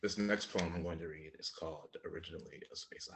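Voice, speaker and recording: Male poet, low register, live reading of his own work. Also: fast talker, a bit bright, a little hiss or hum. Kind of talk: live poetry reading with spoken introductions. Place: indoor room in front of a slate wall, online reading.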